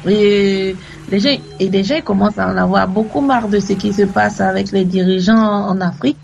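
A woman's voice: mid-range voice, normal speed, gravelly, a little mellow, dull audio, soft background music. A woman calling in to a radio show, talking insistently and repeating herself. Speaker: caller.

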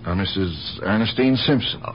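A man's voice: lowish voice, normal speed, a little hiss or hum, natural voice. A man acting as a polite, hesitant police detective lieutenant who asks probing questions in a 1970s radio mystery. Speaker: actor in a radio drama. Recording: dull 1970s radio broadcast recording.

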